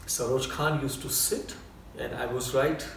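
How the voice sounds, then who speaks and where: A middle-aged man with an Indian accent talks at a slow, unhurried pace, often pausing on fillers as he tells his story. He sits some distance from the mic, so the voice carries the echo of the room. A faint hiss stays under the whole recording. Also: medium pitch, steady tone, gravelly. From India (Bombay). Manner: unhurried, with frequent uh fillers and restarts. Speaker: choreographer and dancer guest. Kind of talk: relaxed personal storytelling.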